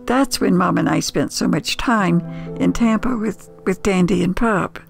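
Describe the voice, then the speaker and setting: A woman's voice: fairly low pitch, vocal fry, soft background music. An older woman with an American accent telling nostalgic memories in a reflective, conversational way. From United States. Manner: reflective, conversational, unhurried with pauses. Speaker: storyteller. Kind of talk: nostalgic first-person storytelling.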